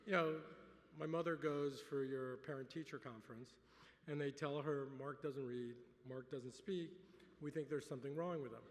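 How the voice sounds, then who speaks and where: A middle-aged man whose first language is French gives a relaxed acceptance speech at a gala dinner, with dry humor and many filler words. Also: medium pitch, moderate pace, slight background noise. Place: large banquet hall. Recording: reverberant hall.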